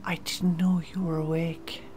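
A woman playing a gentle, hopeful wife among survivors in a comic dub, with a soft delivery. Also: fairly low pitch, level tone, unhurried, faint music behind, close to an everyday voice. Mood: hopeful, tender. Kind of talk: amateur comic-dub voice acting.

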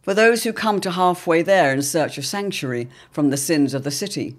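A man narrating an audio drama serial in a measured, portentous, dramatic storytelling style. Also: a little high, lively intonation. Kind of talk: dramatic scripted narration, old radio serial. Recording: clear background ambient noise.

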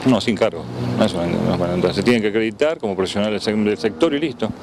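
A man in his fifties with an Argentine accent answering a TV interview, pausing as he lists things. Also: lowish voice. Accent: Argentine Spanish. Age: 50s. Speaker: trade fair organizer being interviewed. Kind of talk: on-location TV interview. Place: outdoors beside a building near a road. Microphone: handheld interview microphone. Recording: outdoor street and traffic noise.

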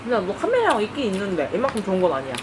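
Fast-talking woman chatting with a friend. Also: mid-range voice, wildly swooping pitch. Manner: quick, chatty, matter-of-fact. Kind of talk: casual chat. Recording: background noise.

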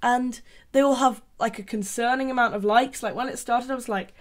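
A young woman giving deadpan, sarcastic commentary from her bedroom into a desk microphone. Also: fairly high pitch, brisk. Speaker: YouTube commentator. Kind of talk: sarcastic commentary on a reality show. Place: small bedroom with colored lights. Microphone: large desk microphone.

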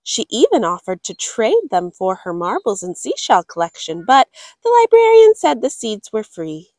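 A woman hosting children's story time, reading in a fairly high, very animated voice. Her phrasing is deliberate and dramatic, and the sound is dry and close. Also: dry recording. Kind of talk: expressive picture-book read-aloud.

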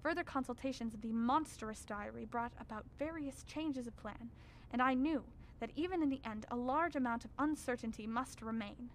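A grave scholar, played by a woman, recounts horrors in a radio drama. The voice sits fairly high, formal and measured with an ominous weight, over faint background noise. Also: close to an everyday voice. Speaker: actor narrating. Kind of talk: radio drama narration as a written record.

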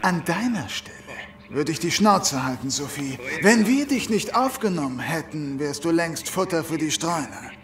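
An actor playing a gruff, threatening but doubting henchman in a German audio drama. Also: a little high, animated, moderate pace, few pauses, natural voice.

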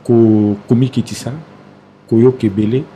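A Congolese man in his forties with a Kinshasa accent, a singer talking in a casual studio interview, halting with many fillers and restarts. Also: mid-range voice, little pitch movement, mellow, a little hiss or hum. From Democratic Republic of the Congo. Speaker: singer guest. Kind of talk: casual interview talk mixing Lingala and French. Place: small TV studio, indoors. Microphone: desk mic on stand, close.

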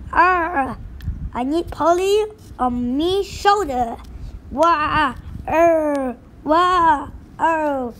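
A blustering storybook pirate captain who now drives a bus, put on by a woman reading aloud. The voice sits high, with a slow, drawn-out delivery thick with pirate slang and growled arrs. It is boastful on top and easily rattled underneath. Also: a bit bright, heavily acted. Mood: panicky.

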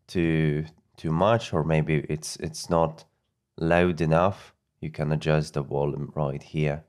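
A man narrating a software tutorial off the cuff, halting and often repeating words. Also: rumbling low. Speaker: audio editing tutorial presenter.

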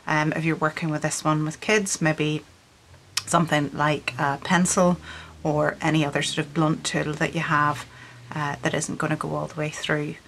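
A woman's voice: low voice, fairly bright tone, a little hiss or hum. A middle-aged woman from Scotland who speaks with a Northern Irish accent, an artist patiently explaining a craft workshop step by step. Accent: Northern Irish with faint Scottish traces. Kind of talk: instructional craft tutorial. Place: indoor room with storage shelves.

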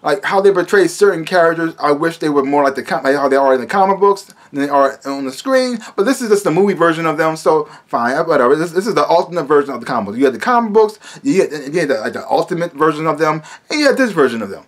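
A man's voice: fairly high pitch, expressive, fast talker, hardly any pauses. An American man in his thirties giving a casual, emphatic movie review to camera, often repeating his words, recorded in a small room at home.

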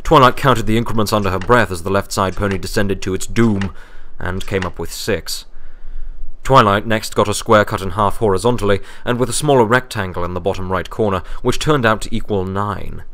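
A man's voice: lowish voice, a little fast, fairly bright tone. A man narrating a scripted fantasy story as an audiobook reader.

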